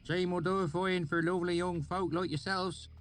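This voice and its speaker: A man's voice: sits high, steady tone, brisk, soft background music, voice pushed up, close to an everyday voice. A man voicing a weathered older male swineherd who speaks in a thick rustic dialect. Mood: gruff. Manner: loud hailing, drawling.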